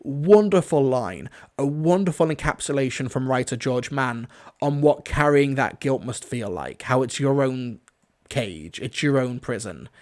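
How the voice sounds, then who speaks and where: A man's voice: mid-range voice, lively intonation, unhurried. A man hosting a casual, off-the-cuff review stream, talking in an enthusiastic, rambling way. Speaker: reviewer, livestream host.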